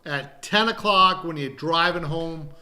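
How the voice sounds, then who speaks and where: A man hosts a wrestling podcast with a high, bright, forceful voice. He talks in a salesy, teasing way, pushing live shows and poking fun at the big televised company. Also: measured pace. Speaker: wrestling podcast host and promoter. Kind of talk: casual promotional chat.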